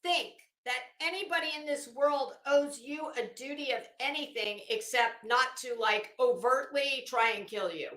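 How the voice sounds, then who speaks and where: A woman with a high voice, recorded in an echoey room. She delivers a dramatic reading as online commentary, mixing formal text with mocking asides. Her manner is blunt, emphatic and openly sarcastic. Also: measured pace, few pauses, bright, forceful tone. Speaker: online commentator reading a legal motion aloud.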